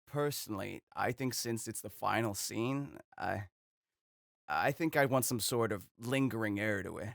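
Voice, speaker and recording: A man voicing a big, docile young wolf man, speaking low and hesitantly. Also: normal speed, gravelly, no room sound, studio quality.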